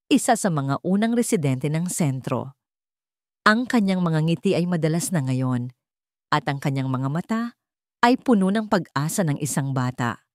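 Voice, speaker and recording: Female audiobook narrator, dramatic scripted storytelling, deliberate, emotive, lower pitch, very dry sound. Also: darkish tone, dry recording.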